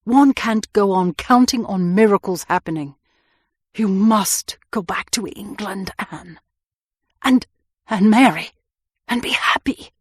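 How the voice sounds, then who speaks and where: A woman voicing an anguished young man on the run, who swings from vehement outbursts to hushed, tender pleading in a husky, breathy voice. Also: mid-range voice, slow pace, long pauses, dry recording, clean studio sound. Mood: insistent. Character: anguished young man, hunted fugitive, passionate and brooding.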